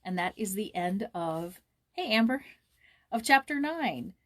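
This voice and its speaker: A woman's voice: mid-range voice, lively intonation, measured pace. A woman hosting a read-aloud, narrating a children's novel. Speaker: storybook reader and host.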